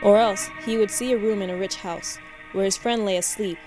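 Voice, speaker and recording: A woman's voice: mid-range voice, steady tone, fairly quick, over music, low-quality recording. A woman reading literary narration for a radio play in a formal storytelling manner.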